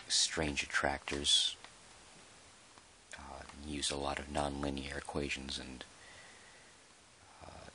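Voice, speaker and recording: A man playing a measured, dry park employee who dictates an official audio log in a halting, deliberate way, in ASMR roleplay style. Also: extremely low pitch, noisy background. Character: male dinosaur park employee, measured, dryly put-upon record keeper.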